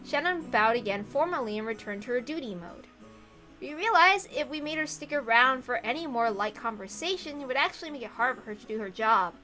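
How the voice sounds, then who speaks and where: Casting: female story reader, fairly high and bright, scripted narration with chatty asides, faint music behind. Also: expressive, a little fast. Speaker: narrator and reader of a visual novel.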